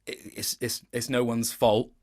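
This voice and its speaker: A man's voice: mid-range voice, completely flat delivery, a little slow, darkish tone. A man speaking as a guest voice actor in an interview, explaining his work reflectively in stop-start phrases. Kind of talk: interview chat about performance work.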